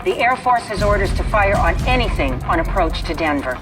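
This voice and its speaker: A woman acting a firm, authoritative president in an action game, formal, measured and commanding. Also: mid-range voice, animated, over music, natural voice. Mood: stern authority. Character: firm, authoritative female president of the United States.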